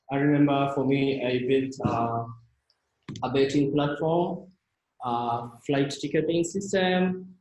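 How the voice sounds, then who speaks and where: A young man from Kenya talks through his slides at an unhurried, slow pace, stopping often to restart with fillers. His voice sits mid-pitch, and the room around him rings with noticeable echo. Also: a little mellow, a little hiss or hum. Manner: halting, many fillers and restarts. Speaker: team member presenting himself. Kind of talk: casual slide presentation.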